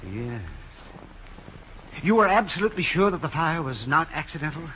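Fussy, nervous club owner, swooping pitch. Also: male voice, lively intonation, moderate pace, bright, forceful tone. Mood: uneasy. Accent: American. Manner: hesitant, flustered, fussy, swooping emphasis. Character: nervous, genteel older male club owner, evasive and prim. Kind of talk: 1950s radio drama acting. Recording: muffled, hissy 1950s radio recording.

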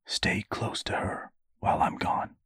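Man as storybook father: low husky voice, sceptical, understated, quietly sardonic. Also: lively intonation, a little slow, no echo, exaggerated voice. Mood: hushed, urgent. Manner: muttered, understated, dry.

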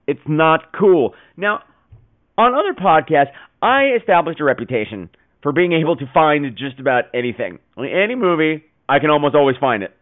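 A man's voice: medium pitch, phone-quality sound. A man hosting a podcast with casual commentary between listener calls, playfully chiding and given to dramatic emphasis. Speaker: podcast host.

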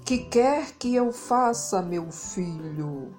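A woman voicing God as a patient, fatherly Creator, asking gently and slowly. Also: mid-range voice, animated, faint music behind.

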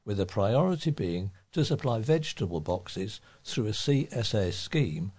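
A man with a fairly low voice reads community news from a written article. He works as a volunteer reader, delivering the scripted report aloud. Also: animated, a little slow, a little mellow, slightly rough. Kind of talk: read-aloud local newspaper report.

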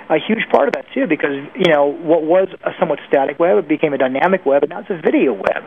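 A man giving informal, opinionated answers as an interview guest, speaking conversationally and with emphasis. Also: a little high. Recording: narrow phone-like line with faint noise.

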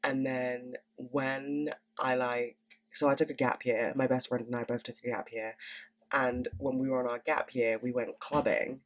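A woman's voice: rumbling low, flat delivery, normal speed, poor audio. A young woman in her twenties hosting a podcast in casual, candid chat, swearing freely and sounding stuffy from a cold. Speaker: podcast host.

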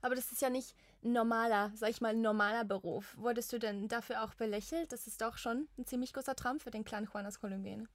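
A woman's voice: on the high side, steady tone, quick pace, few pauses, no echo, studio quality. A woman co-hosting a podcast, asking questions and reacting with short remarks. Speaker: podcast co-host, interviewer. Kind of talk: casual interview chat.

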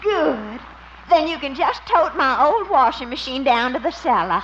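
Coy, sugary American sweetheart, bossy underneath, high and lilting, 1950s radio comedy, noisy old recording. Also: female voice, sing-song, lo-fi sound. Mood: wheedling. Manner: sugary, dithering, drawn-out exclamations. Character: coy, fluttery, wheedling sweetheart who bosses her man.

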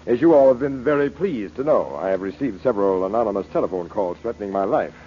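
An actor playing a bitter, commanding rich uncle in an old-time radio drama, with cold sardonic delivery. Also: male voice, lowish voice, animated, quick pace, dark tone, lightly acted. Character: rich, bitter, imperious uncle taunting his heirs. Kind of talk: 1940s radio acting. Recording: muffled, hissy old radio recording.

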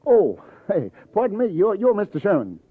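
An American actor playing a wry, slangy, hard-boiled police detective in a vintage radio drama. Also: male voice, high-pitched, huge pitch swings, moderate pace, husky, no room sound, heavily acted. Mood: smooth, probing. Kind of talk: 1940s-50s American radio drama acting. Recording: tinny, narrow-band vintage radio recording.

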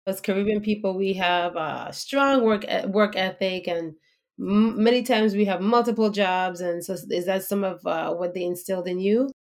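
A woman hosting a podcast, interviewing a guest in a slow, deliberate, conversational way. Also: medium pitch, a little mellow.

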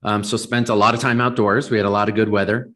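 A man's voice: on the low side, flat delivery, fast talker, a bit bright. An American man in his forties from Southern California, answering conversationally as a company founder being interviewed.

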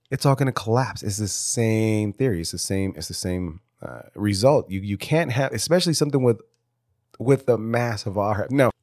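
A man's voice: on the low side, a little mellow, dry recording, studio quality. Stuffy-nosed man rambling on a podcast. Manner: rambling with many restarts and casual swearing. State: congested, stuffy nose. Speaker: podcast host. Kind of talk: casual solo podcast monologue.

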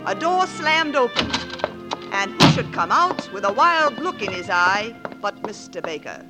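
An actress playing a wry, plucky, protective older sister with a dry wit, acting and narrating in a 1940s radio comedy. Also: female voice, mid-range voice, very animated, unhurried, loud music behind the voice. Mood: wry narration. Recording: old 1940s radio broadcast.

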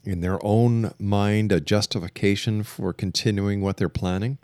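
A man with a fairly low voice hosts a radio interview in a dry, close sound. He phrases his long questions deliberately, with a halting delivery. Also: fairly even intonation, darkish tone, dry recording. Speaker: radio show host, interviewer.

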